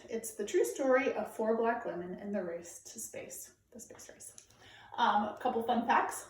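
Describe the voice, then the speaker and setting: A woman reading aloud to children like a teacher, with clear, deliberate, emphatic phrasing. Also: a little high, darkish tone. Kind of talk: read-aloud storytelling for children, informal introduction. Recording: very echoey room, distant microphone.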